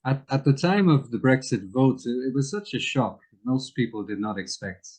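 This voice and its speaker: A man giving careful, measured explanations of current affairs as a guest in an interview. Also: medium pitch, big pitch swings, darkish tone, breathy edge.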